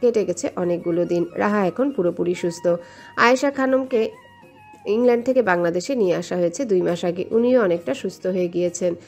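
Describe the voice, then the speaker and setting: A woman's voice: lowish voice, brisk, darkish tone. A woman reading a scripted romantic story as its narrator. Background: background music.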